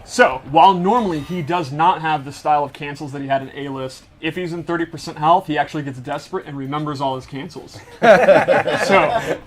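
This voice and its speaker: Enthusiastic man, slightly high-pitched voice. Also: a little fast. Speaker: game developer demonstrating fighter moves. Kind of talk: casual gameplay demonstration commentary.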